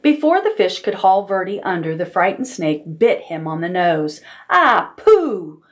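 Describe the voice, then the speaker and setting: A woman's voice: on the low side, big pitch swings, moderate pace, darkish tone. A woman narrating a children's picture book expressively, as a storytime reader at a library.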